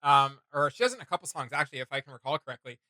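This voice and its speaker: A man's voice: mid-range voice, animated, racing, sharp tone, dry recording. A man hosting a reaction video in casual commentary, often hesitating as he searches for words.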